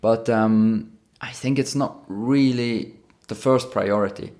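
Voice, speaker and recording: A man's voice: lowish voice, steady tone, takes it slowly, a little mellow. A man with a Swiss German accent, a native Swiss German speaker co-hosting a casual podcast, thoughtful and often restarting his phrases. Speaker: podcast co-host.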